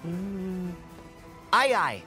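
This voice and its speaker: An eager teenage hero for a video game. The delivery is earnest and lively, drawn out with deliberate pauses. Soft music sits behind. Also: male voice, high-pitched, exaggerated voice. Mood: puzzled. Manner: open, questioning, emphatic. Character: eager, earnest, youthful boy adventurer. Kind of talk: video game cutscene acting.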